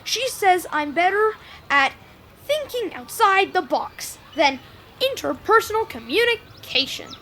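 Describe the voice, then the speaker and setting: A woman plays an excitable, talkative preteen boy in a kids' audio drama. The voice is extremely high and swings up and down a lot, with breezy, informal delivery. Faint noise sits behind it. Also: unhurried, heavily acted. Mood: chatty. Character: outgoing, chatty, eager preteen boy at summer camp. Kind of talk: audio drama acting for children.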